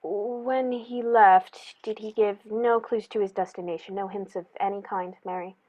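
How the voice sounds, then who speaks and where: A woman playing a composed, shrewd male detective in a radio play, with a measured, formal, probing way of speaking. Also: mid-range voice, steady tone, a little mellow, dull audio, close to an everyday voice. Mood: shrewd, assured.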